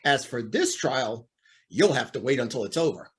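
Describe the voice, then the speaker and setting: A man's voice: mid-range voice, lively intonation, moderate pace, husky. A man playing a stern, pompous older judge in an old-time radio drama, speaking formally and guardedly. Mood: pompous, firm.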